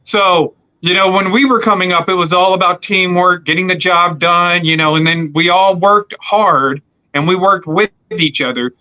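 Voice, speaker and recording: Man in his forties, bright forceful tone, rambling with fillers, dull lo-fi sound. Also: high-pitched, moderate pace, clear, resonant tone. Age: 40s. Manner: rambling with many fillers and restarts. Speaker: podcast host. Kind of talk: casual conversation.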